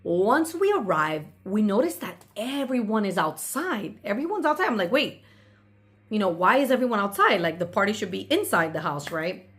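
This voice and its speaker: A woman's voice: medium pitch, very animated, normal speed, a bit bright. A woman hosting her own channel, telling a personal story in a casual, conversational way, acting out bits of dialogue as she goes. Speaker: video channel host.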